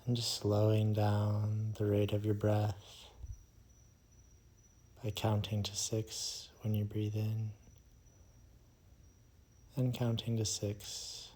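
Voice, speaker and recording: A man guides a meditation in a dark, mellow voice. He speaks very slowly and almost without any rise or fall in pitch. His manner is soothing and hushed, and he leaves long pauses between phrases. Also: monotone.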